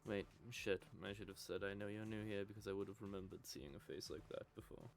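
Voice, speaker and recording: A man voicing a sardonic gravelly vampire. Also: fairly low pitch, fairly even intonation, a little fast, soft background music. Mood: flirty. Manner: cursing, mocking, gravelly. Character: sardonic male vampire, bitter and selfish. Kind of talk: audio drama roleplay.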